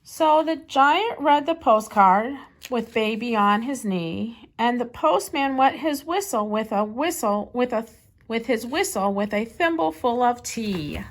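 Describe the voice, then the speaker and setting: Female children's story reader, higher-pitched, expressive and deliberate, like a teacher reading aloud. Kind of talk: children's picture-book read-aloud.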